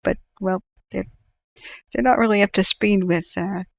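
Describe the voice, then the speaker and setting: A tired woman with a gravelly, creaky voice rambles casually to her stream viewers, stopping often on ums and uhs. Her throat sounds ragged and worn out. The audio is dull and lo-fi, like a home livestream. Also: medium pitch, fairly even intonation. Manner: rambling, halting, many fillers. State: exhausted. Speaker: wildfire tracking livestream host.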